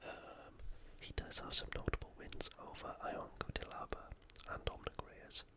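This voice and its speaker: A host giving casual, unhurried sports commentary in a breathy, unvoiced ASMR whisper. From United Kingdom. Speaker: host of ASMR fight preview videos.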